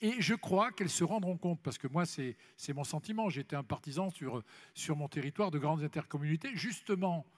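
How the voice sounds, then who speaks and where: Guy politician on a panel, kinda high voice, repeats stuff for emphasis, big echoey hall. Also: animated, a little fast. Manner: emphatic, repeats phrases for stress. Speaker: elected official speaking as congress panellist. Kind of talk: impromptu panel discussion at a congress. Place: large congress hall plenary session. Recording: reverberant large hall, amplified speech.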